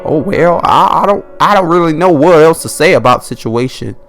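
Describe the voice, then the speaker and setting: A loud, crass suburban dad played by a man exclaims in a raised, baffled voice. He is clueless and foul-mouthed, a comic character in a bedtime horror story with faint music behind. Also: on the high side, animated, brisk, voice pushed up.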